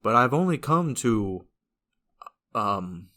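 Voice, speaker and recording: A man's voice: mid-range voice, very animated, slow pace, pushed and bright, full character voice. A man voices a blunt young-hearted fantasy hero for an audio drama. He speaks formally and very literally, with earnest weight and widely moving intonation. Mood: sombre, earnest. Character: blunt, earnest male fantasy hero, socially naive, grieving.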